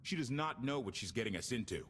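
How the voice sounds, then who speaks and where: An actor playing an earnest, wary rebel crew captain in a game, informal and emphatic. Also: male voice, medium pitch, expressive, quick pace, a bit bright, a little hiss or hum, close to an everyday voice. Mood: bitter, wary. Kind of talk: video game cutscene acting.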